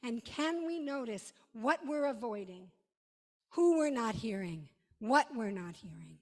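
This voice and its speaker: High-voiced woman preaching slowly in a reverberant hall. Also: big pitch swings. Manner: emphatic, dramatic pauses, short repeated phrases. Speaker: rabbi. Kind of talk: sermon.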